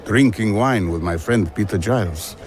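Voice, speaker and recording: An actor playing a haunted, wistful older former traveller, speaking in a husky voice and reflective, earnest manner in an audio drama. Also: male voice, fairly low pitch, moderate pace, a little mellow, faint music behind, natural voice. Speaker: narrator and central character. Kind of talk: audio drama narration and dialogue.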